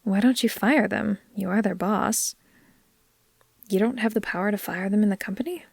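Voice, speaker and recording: A woman playing a caring, gently teasing vampire in a soft, unhurried, comforting ASMR roleplay, sometimes slipping toward a whisper. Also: medium pitch, vocal fry, slightly rough, dry recording, clean studio sound. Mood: sympathetic.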